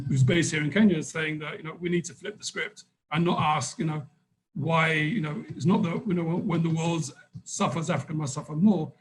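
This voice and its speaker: A man speaking off the cuff as a conference panelist, halting and repeating words as he works out his thoughts. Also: a little high, low-quality recording.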